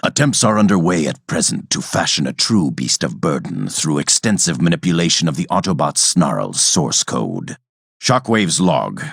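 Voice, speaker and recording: An actor playing a cold, calculating robot villain scientist in a video game, speaking in a measured, clinical and menacing way with formal diction. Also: male voice, very deep voice, very animated, gravelly, a bit bright, husky, no echo, studio quality, heavily acted. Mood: clinical fascination. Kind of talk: scripted video game character monologue.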